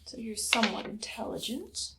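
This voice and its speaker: A woman playing a cruel, imperious evil queen in an ASMR roleplay, speaking softly and often slipping into a whisper. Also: medium pitch, lively intonation, normal speed, vocal fry, very noisy. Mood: menacing, coolly commanding. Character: haughty evil queen, cruel, darkly amused conqueror. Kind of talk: ASMR roleplay monologue, personal attention.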